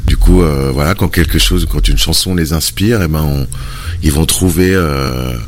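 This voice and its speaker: A man's voice: very deep voice, normal speed. A man being interviewed about his music, speaking hesitantly with many fillers and restarts. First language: French. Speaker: musician guest. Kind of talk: relaxed conversational interview.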